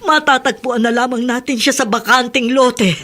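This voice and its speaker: An actress with a Filipino accent playing a stern, unyielding middle-aged mother in a radio drama, firm and reproachful, with a husky voice. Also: on the high side, steady tone, moderate pace, bright, forceful tone. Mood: cold, resolute. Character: stern, unyielding mother of two grown sons.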